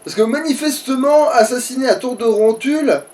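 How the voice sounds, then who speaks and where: A man's voice: extremely high pitch, moderate pace, a little mellow, far from the mic. A man chatting casually as he plays a game, crude and joking, with plenty of swearing. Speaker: let's player. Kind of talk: casual gaming commentary with a friend.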